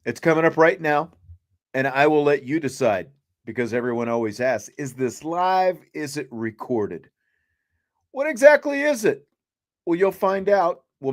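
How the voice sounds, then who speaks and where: A man hosting a sports talk show, chatting casually as he introduces and interviews. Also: fairly high pitch.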